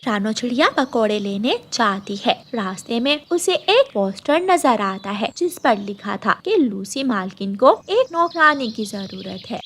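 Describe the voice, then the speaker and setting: Casting: female storyteller for children's cartoon tales, higher-pitched voice, scripted narration, slight background noise. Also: lively intonation, unhurried, hardly any pauses, a bit bright.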